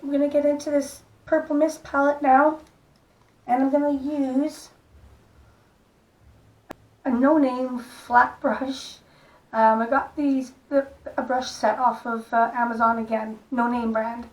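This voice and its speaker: Soft-spoken croaky woman. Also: high voice, little pitch movement, poor audio. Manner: soft-spoken, unhurried, stops and restarts gently. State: hoarse, croaky voice. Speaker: makeup vlogger. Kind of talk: casual makeup tutorial talk.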